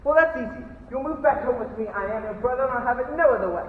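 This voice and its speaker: A man's voice: extremely high pitch, lively intonation, racing, slight background noise. Young man playing a blustering indignant brother, muffled echo. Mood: firm. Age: teenager playing an adult. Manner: declamatory, blustering. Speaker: high school student actor. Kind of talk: theatrical stage farce acting. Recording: muffled, echoey hall rehearsal from far off.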